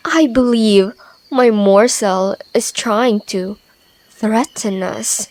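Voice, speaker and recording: Woman playing a slow, gloating predatory monster. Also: fairly high pitch, a little mellow. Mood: possessive, cool. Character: possessive predatory monster woman called Maker, coolly amused. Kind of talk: ASMR fantasy audio roleplay.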